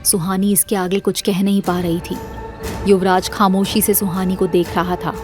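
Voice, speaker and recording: A woman's voice: medium pitch, level tone, moderate pace, over music. A woman narrating a scripted audio drama as its storyteller.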